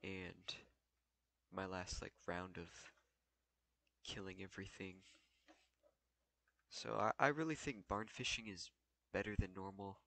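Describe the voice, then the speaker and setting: A man's voice: sits low, fairly even intonation, unhurried, creaky, long pauses, fairly bright tone, no echo. A young man casually walking through a game tutorial while he plays, loose and full of fillers. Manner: loose, filler-heavy, thinking aloud while playing. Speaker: gaming tutorial creator. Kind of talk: casual gameplay walkthrough.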